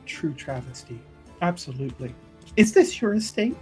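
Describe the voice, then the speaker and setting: A player voicing a polite, probing church sister in a fantasy tabletop game, with formal, measured, pious phrasing. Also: medium pitch, lively intonation, a little mellow, soft background music, pitched below the performer's own voice, lightly acted.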